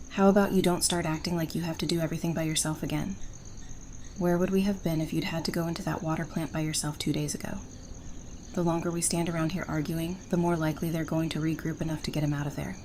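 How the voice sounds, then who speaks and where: A woman's voice: lowish voice, fairly even intonation, fast talker, darkish tone, slight background noise, natural voice. A woman playing a feisty, loyal survivor in an audio drama, frank and sardonic. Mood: exasperated. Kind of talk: fan audio drama acting.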